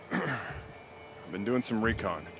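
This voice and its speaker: A man playing a protective, by-the-book cop and older brother in a video game, gruff and authoritative. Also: lowish voice, sing-song, very slow, noisy background, full character voice. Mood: stern, matter-of-fact. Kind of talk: cinematic game dialogue. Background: dramatic music.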